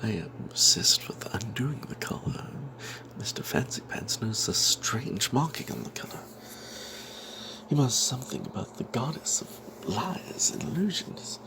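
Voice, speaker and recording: A man telling a fantasy tabletop tale as whispered ASMR, in a breathy, halting way. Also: mid-range voice, very animated, low-quality recording.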